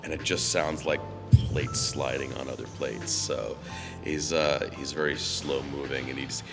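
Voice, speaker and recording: A middle-aged male voice actor with a slightly hoarse, froggy voice, rambling in a relaxed, self-deprecating way at a convention Q&A. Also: rumbling low, unhurried, music in the background. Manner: rambling and self-deprecating, with frequent restarts and fillers. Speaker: voice actor guest at a fan convention.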